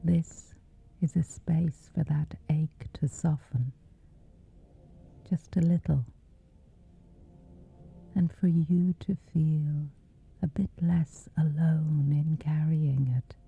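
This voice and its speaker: A woman whispering softly as she guides a gentle sleep meditation, calm and soothing. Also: deep voice, vocal fry, breathy edge. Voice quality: soft whisper. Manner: slow gentle soothing delivery. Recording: lo-fi narrow sound with soft music.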